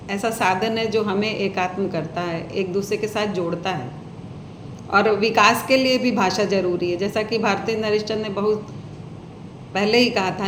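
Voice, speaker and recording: Slow, declamatory woman poet in echoey room. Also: fairly high pitch, a bit bright, slight background noise. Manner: earnest, declamatory, repeats poem lines with rising emphasis. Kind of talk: speech on language followed by poetry recitation.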